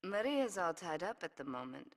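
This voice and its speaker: A woman's voice: on the low side, sing-song, moderate pace. An actress playing a cold, commanding Yakuza woman boss who gives curt, dryly seductive orders in a video game cutscene. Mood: cool command.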